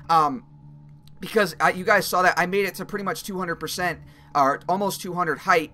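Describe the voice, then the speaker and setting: A young man with a slightly raspy voice giving casual, excitable commentary while playing a game, speaking into a headset mic. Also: high voice, slight background noise. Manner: excitable, swears and yells when he fails. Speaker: gaming video creator. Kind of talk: casual let's-play commentary.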